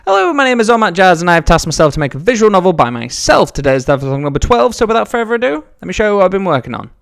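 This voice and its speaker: Fast-talking young British man with creaky voice. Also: high voice, very animated, fairly bright tone. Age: young adult. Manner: informal, explanatory. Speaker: game developer and video host. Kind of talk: devlog narration to viewers. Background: background music.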